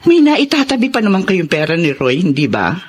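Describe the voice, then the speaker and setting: A woman's voice: lowish voice, very animated, fairly quick, slightly rough. A woman playing a stern, caring middle-aged mother in a radio drama, with a Filipino accent. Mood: gentle, consoling.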